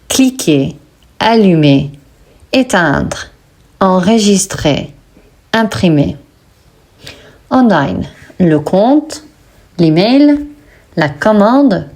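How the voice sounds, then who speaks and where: Slow, careful woman reading French vocabulary. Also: fairly low pitch, a little mellow. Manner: slow, deliberate word-by-word reading. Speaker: French teacher and textbook author. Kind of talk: pronunciation lesson reading.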